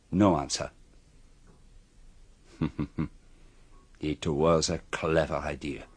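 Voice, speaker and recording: An actor playing a shrewd, courteous older detective in a radio drama, speaking precisely and with formal politeness as he accuses. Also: medium pitch.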